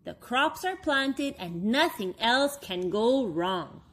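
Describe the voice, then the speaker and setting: A woman's voice: a little high, very animated, slow pace, fairly bright tone, full character voice. A woman voicing a lovable, overconfident male furry monster from a storybook, with cheerful, emphatic exclamations.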